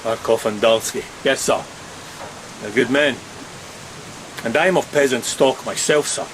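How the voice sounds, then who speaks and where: An actor playing an educated, respectful first mate in a gothic audio drama, measured and explanatory in manner. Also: male voice, mid-range voice, natural voice. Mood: deferential. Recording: loud steady rain noise. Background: rain.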